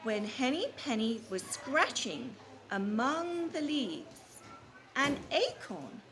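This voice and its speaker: A woman kindergarten teacher reading a storybook aloud to young children, prompting them with questions and repeating deliberately. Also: high-pitched, big pitch swings, a bit bright. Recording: faint background room noise.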